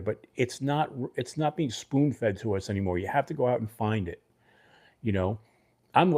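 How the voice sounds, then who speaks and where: A man's voice: mid-range voice, darkish tone. A middle-aged man from Brooklyn with a mixed New York and New Jersey accent, chatting loosely as the host of a livestream and answering viewers by name. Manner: rambling, talks to chat viewers by name. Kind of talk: casual livestream chat.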